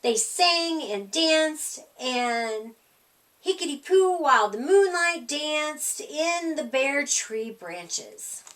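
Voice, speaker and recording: A woman reads a children's story in a high voice, slowly and deliberately. Her pitch moves up and down a great deal. She sounds slightly away from the microphone. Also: recorded from a distance. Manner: expressive, deliberate phrasing. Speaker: story reader.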